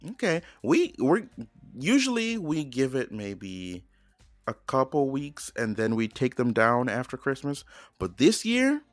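A man's voice: mid-range voice, wildly swooping pitch, a little slow, dry recording, faint music behind. A young man co-hosting a podcast in casual chat, playful and jokey, sometimes breaking into silly sing-song. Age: young adult. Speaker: podcast co-host.

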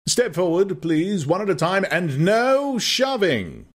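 A man voicing a jaunty young male official who barks orders in a loud, bossy, peremptory way, in an audiobook. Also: high voice, very animated, slow, voice pushed up, heavily acted. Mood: bossy, brisk.

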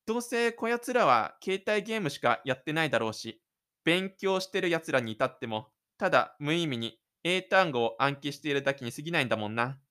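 A man's voice: fairly high pitch, expressive, fairly quick, pushed and bright, smooth. Man acting a cocky, stubborn teenage loner. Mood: scornful, cocky. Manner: cocky, defensive, scornful muttering. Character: shy, stubborn high-school boy boasting in his head. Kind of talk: inner-monologue radio drama acting.

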